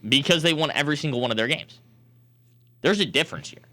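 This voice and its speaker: A man's voice: a little high, animated, quick pace, sharp tone. A man hosting a sports talk show, arguing his opinions emphatically in a back-and-forth debate. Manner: emphatic, argumentative.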